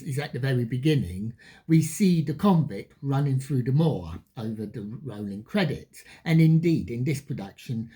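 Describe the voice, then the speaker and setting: A film reviewer giving a retrospective commentary, phrasing things deliberately and stressing key words. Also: medium pitch, mellow, husky. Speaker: film reviewer, channel host.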